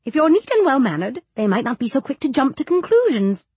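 A woman's voice: sits high, very animated, fairly quick, a little mellow, breathy edge, no room sound, voice pushed up, full character voice. A woman voicing a scowling, strict older matron in an audiobook, prim, clipped and critical. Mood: brisk, critical. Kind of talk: audiobook character dialogue.

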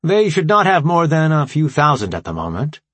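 Man voicing a formal robot leader, higher pitched. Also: very animated, moderate pace, a bit bright, no room sound. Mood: measured, resigned. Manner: formal, precise, explanatory. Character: formal robot artificial intelligence leader, measured and logical. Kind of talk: audiobook character dialogue.

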